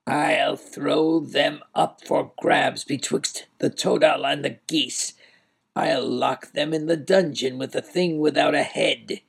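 A man voicing a cold, cruel, raging villain duke in a storybook, theatrical and imperious, with a harsh rasp. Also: fairly high pitch, steady tone, slow, voice pushed up, heavily acted. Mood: agitated, threatening. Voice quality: raspy, harsh voice. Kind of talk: dramatised storybook narration.

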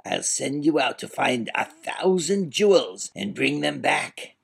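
A man voicing a cold, sneering old duke who taunts slowly and menacingly in an audiobook. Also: medium pitch, very animated, gravelly, husky, voice pushed up, full character voice. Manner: slow, menacing, mocking. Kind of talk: audiobook character voice.

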